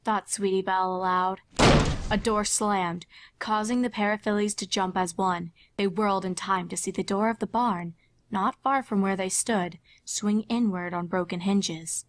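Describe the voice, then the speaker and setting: A woman's voice: mid-range voice, steady tone, moderate pace, slight background noise. A woman reading fan fiction aloud as a scripted story narrator.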